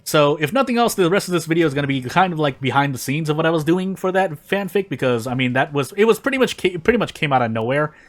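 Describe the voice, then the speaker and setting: A man's voice: a little high, expressive, fast, few pauses, soft background music. A man doing casual gaming video narration and commentary in a rambling, self-deprecating way. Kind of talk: casual gameplay commentary and fanfic reading.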